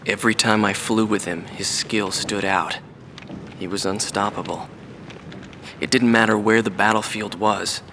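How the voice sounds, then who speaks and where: A man playing a weary, reflective veteran mercenary pilot in a video game, recalling the past in a measured, wistful interview monologue. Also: fairly low pitch, fairly even intonation, pushed and bright, a little hiss or hum. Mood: quiet admiration. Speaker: former mercenary fighter pilot being interviewed.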